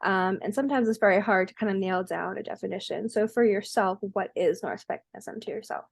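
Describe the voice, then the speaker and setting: A woman's voice: medium pitch, brisk, vocal fry, dry recording. Woman, podcast host, casual interviewer, filler words, dry close recording. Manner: friendly, filler-heavy.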